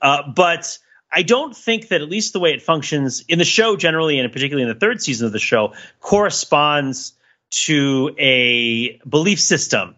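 A man's voice: a little high, expressive, moderate pace, pushed and bright. A man on a casual comedic discussion podcast, analytical and dryly joking, on a slightly lo-fi recording. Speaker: podcast panelist.

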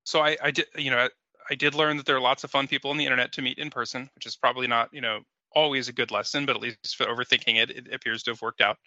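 A man chatting casually as a podcast guest, halting and reflective, over a lo-fi connection. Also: medium pitch, steady tone, bright, forceful tone, dry recording.

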